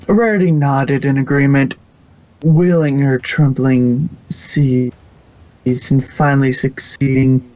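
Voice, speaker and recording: A man's voice: mid-range voice, steady tone, slow, a little mellow. A man reading scripted storybook narration as the narrator of a fan-fiction audio reading, on a lo-fi home recording.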